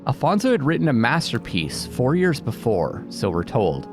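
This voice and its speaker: A man with a mid-pitched, very lively voice talks through a game as its host. He muses aloud in a casual way, often questioning himself, with music playing behind him. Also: normal speed. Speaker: let's play host. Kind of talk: casual gaming commentary, thinking aloud and reading out game text.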